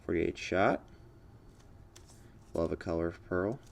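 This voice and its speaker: Male vlog host, American, low-pitched voice, informal and enthusiastic, chatting through an unboxing. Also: slow pace, vocal fry. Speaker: fireworks channel host. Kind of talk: casual unboxing commentary.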